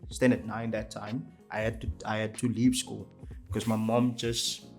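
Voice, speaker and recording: A man's voice: on the low side, flat delivery, moderate pace, faint music behind. A young South African man with a Cape Town accent, a podcast guest telling his life story in a casual, storytelling way, with many fillers and restarts. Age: young adult.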